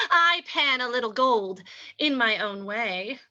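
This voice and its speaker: A woman playing a coy, flattering young female gold-seeker in old-time radio style, sweet and probing. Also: a little high, slow pace, dull audio, exaggerated voice.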